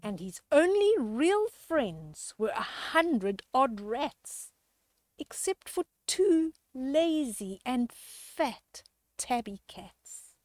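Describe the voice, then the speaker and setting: A woman storyteller reading a children's picture book aloud with expressive, drawn-out emphasis and chatty questions to young listeners. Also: high voice, dry recording.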